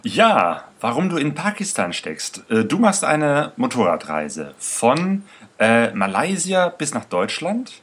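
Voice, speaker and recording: A man's voice: medium pitch, wildly swooping pitch, takes it slowly, a bit bright, husky. A man hosting an interview, asking questions in a hesitant way with many fillers. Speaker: host. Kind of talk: conversational interview.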